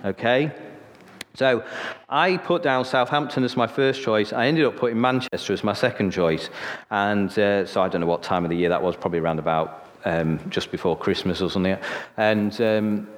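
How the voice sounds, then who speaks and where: A man's voice: fairly low pitch, normal speed, in a large hall. A man in his forties from Sheffield with a northern English accent, preaching a sermon in a chatty storytelling way, often checking in with "okay?". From Sheffield, northern England. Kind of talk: sermon with personal testimony.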